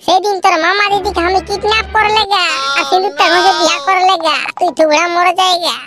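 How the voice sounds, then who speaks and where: A man voicing a brash, boastful young village man in a comic cartoon, with a Purulia Bengali accent and a pitched-up, slangy delivery. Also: fairly even intonation, fast talker. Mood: boastful, threatening.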